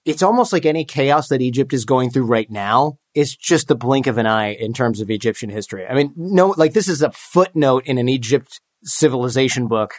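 A man's voice: medium pitch, lively intonation, a little fast, hardly any pauses. Joking, irreverent male interview host. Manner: joking, irreverent, uses casual profanity. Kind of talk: casual conversational interview.

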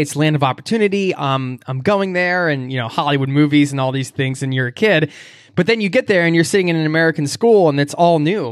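A man hosting a podcast, asking relaxed, easygoing questions, often several in one go. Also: a little high, no room sound, studio quality. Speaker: podcast host. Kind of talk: interview questions.

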